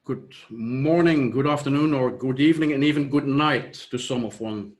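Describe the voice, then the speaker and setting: Flemish guy, dutch is his first language, walks through slides slowly and calmly over a video call, mid pitch. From Flanders, Belgium. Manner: measured, explanatory, trails off with "et cetera". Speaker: software company founder presenting. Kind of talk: online conference presentation.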